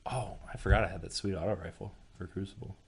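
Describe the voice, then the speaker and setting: A man of about thirty with a distinctly deep voice co-hosts a casual games podcast. His talk is laid-back and slangy, like chatting with a close friend. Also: quick pace, gravelly, breathy edge. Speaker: podcast co-host.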